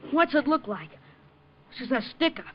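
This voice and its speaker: A man's voice: very high-pitched, animated, normal speed. A man playing a nervous skinny teenage robber in a 1950s radio drama, curtly barking orders. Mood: nervous, threatening. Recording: dull old radio recording, music behind.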